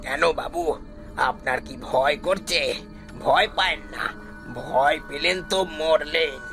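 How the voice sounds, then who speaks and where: A man voicing an old male cart driver who is secretly a ghost, speaking slowly and ominously in a cartoon. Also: on the high side, gravelly, sharp tone, faint music behind, exaggerated voice. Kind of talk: cartoon voice acting.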